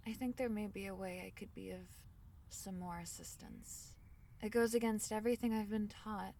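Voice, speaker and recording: A woman voicing a tiny, caring fairy in a soothing ASMR fantasy roleplay, speaking softly in slightly formal storybook phrases. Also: medium pitch, fairly even intonation, moderate pace, recorded from a distance, a little hiss or hum, natural voice. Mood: tender, reassuring. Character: tiny gentle female fairy, caring and protective.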